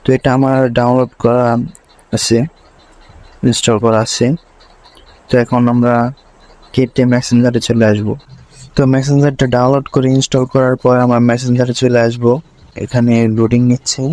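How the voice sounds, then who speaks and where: Man, flat-pitched tutorial voice. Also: moderate pace, long pauses, poor audio. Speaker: tutorial presenter. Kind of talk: step-by-step screen tutorial.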